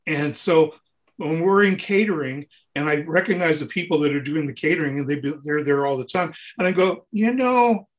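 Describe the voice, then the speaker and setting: High-voiced man telling animated anecdotes. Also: moderate pace. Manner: acts out dialogue and sounds while telling stories. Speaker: interview guest, touring keyboard player.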